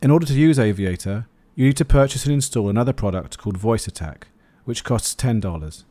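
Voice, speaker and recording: A man's voice: mid-range voice, animated, brisk, mellow, no room sound, studio quality. A man narrating a scripted product review as the host of a video.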